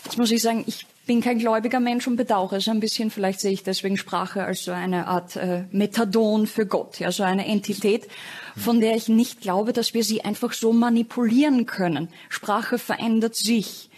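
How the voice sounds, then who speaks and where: A woman speaking as a panel guest in a talk show discussion, measured and articulate, with occasional fillers. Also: fairly high pitch, fairly even intonation, a little mellow.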